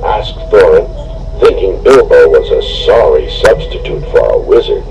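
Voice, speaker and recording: A man reading a storybook aloud with expressive, dramatic narration, on a noisy home recording. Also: low voice, normal speed, dull audio. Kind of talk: scripted storybook read-along narration.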